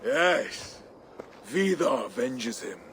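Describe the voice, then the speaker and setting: An actor playing a brooding, vengeful Viking warlord in a video game, speaking in a grave, declamatory way. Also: male voice, medium pitch, sing-song, extremely slow, a bit bright, exaggerated voice. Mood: grimly triumphant. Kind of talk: dramatic video game acting. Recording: noisy game ambience behind the voice.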